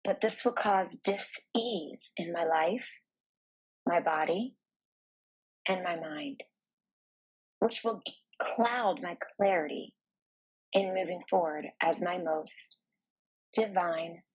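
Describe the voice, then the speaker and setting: A woman narrating a guided meditation in a soft, soothing, deliberate manner with pauses between phrases. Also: fairly low pitch, expressive, slightly rough, rough recording.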